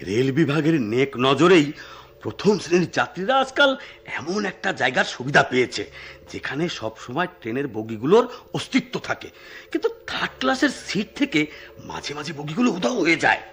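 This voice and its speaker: An actor in a Bangladeshi radio drama playing a talkative, slyly satirical eccentric local at a railway station, rambling theatrically in a Bangladeshi accent. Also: male voice, a little high, very animated, brisk, fairly bright tone, slightly rough, heavily acted. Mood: gleefully morbid. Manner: theatrical, rambling explanations with filler phrases. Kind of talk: Bengali radio drama acting.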